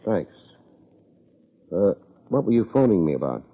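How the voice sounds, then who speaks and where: An American actor playing a wry, self-deprecating private investigator in an old-time radio drama, with a deadpan, wisecracking delivery. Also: male voice, fairly low pitch, measured pace, full character voice. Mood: dry, probing. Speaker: lead actor, narrator. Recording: muffled old radio recording.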